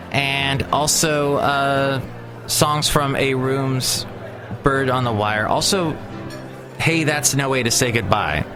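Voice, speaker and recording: Rambling chatty man hosting a podcast. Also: fairly high pitch. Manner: rambling, chatty, many fillers and restarts. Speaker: podcast host. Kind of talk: casual solo podcast talk.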